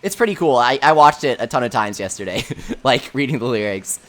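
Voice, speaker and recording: A man hosting a game livestream with a high voice, answering viewer questions in a chatty, offhand way full of fillers. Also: expressive, fairly quick, fairly bright tone. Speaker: livestream host. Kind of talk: casual livestream chat, reading viewer questions.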